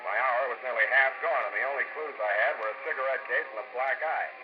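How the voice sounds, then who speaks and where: An actor playing a wry, unflappable private detective in an old radio drama, with clipped, deadpan delivery. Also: male voice, medium pitch, fast talker, close to an everyday voice. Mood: wry narration. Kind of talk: 1940s radio detective acting with narration. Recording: very noisy, muffled old radio recording.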